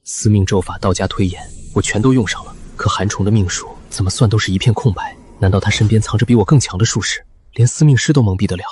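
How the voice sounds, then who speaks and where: A man's voice: fairly low pitch, quick pace, a little mellow, a little hiss or hum, close to an everyday voice. A man playing a composed, brooding ancient mystic reborn as an actor, in earnest, theatrical scripted drama. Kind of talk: scripted dramatic monologue and dialogue.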